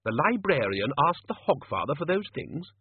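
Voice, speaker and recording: A man voicing a blunt, blustery old wizard arch-chancellor in an audiobook, brusque, loud and emphatic. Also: a little high, sing-song, moderate pace, slightly rough, no echo, phone-quality sound, pitched above the performer's own voice.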